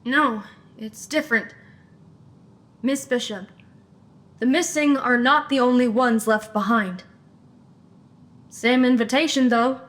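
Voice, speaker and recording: A young woman playing a sharp, decisive investigator in a supernatural comedy audio drama, teasing with deadpan banter. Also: fairly high pitch, takes it slowly, pauses often. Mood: intrigued, decisive.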